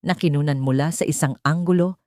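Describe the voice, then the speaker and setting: A woman narrates a dramatic love story in a low, mellow voice. The recording is dry and close, with very little room sound. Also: brisk, no echo. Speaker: narrator of audio love stories.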